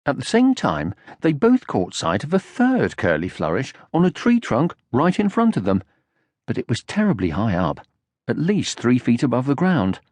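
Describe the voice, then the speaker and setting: A man narrating a children's audiobook from a script in an expressive storytelling style. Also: medium pitch, moderate pace, dry recording.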